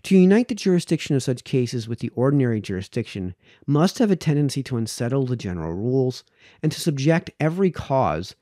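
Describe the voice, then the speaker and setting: Male reader, 30s. Deliberate book narration with wide pitch movement and a warm, relaxed tone. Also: no echo, studio quality. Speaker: reader, host of a read-aloud series. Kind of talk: reading aloud from a book of formal essays. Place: small home office, indoors. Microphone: close boom-arm podcast microphone, headphones.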